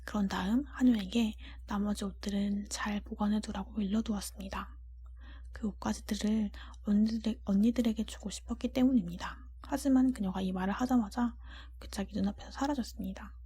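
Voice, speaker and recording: Woman softly whispering a storybook, very breathy. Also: medium pitch, fairly even intonation, fairly quick. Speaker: audiobook-style narrator. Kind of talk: whispered ASMR storybook reading.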